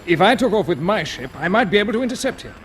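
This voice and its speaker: An actor playing a dutiful rocket ship captain in 1950s British radio drama, brisk, respectful and clipped. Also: male voice, high-pitched, big pitch swings, fairly bright tone. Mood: eager. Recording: noisy vintage 1950s radio recording.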